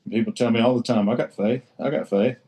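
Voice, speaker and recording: A man's voice: medium pitch, steady tone, a little fast, warm tone. A man sharing in a recovery roundtable in a blunt, salty way, swearing freely and repeating himself for emphasis. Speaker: recovery group member sharing. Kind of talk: casual share in a recovery roundtable.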